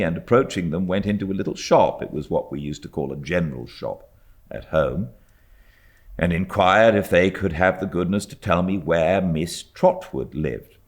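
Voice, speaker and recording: A British man reading a classic novel as an audiobook narrator, in a measured, expressive storytelling manner. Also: low-pitched. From Britain. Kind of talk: scripted literary narration.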